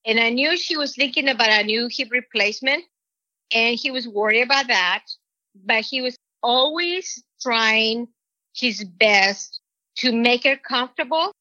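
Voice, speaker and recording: A woman's voice: a little high, pushed and bright, no echo. Earnest woman with a Mexican accent. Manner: rambling, earnest, halting restarts. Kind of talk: personal testimony. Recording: dull lo-fi recording.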